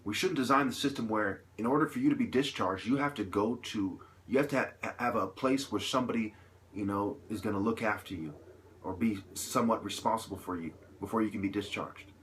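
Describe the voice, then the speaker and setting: A man with a fairly low voice speaks off the cuff to his viewers in an impassioned monologue. He builds his points through emphatic repetition and rhetorical questions, often halting between phrases. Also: fairly bright tone, slight background noise. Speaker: vlogger delivering an opinion monologue.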